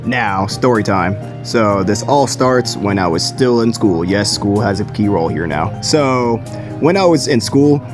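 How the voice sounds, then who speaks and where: A man's voice: lowish voice, expressive, a little slow. A young man in his late teens telling a story in a chatty, slangy, self-deprecating way. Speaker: video creator. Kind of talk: casual storytime vlog. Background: music.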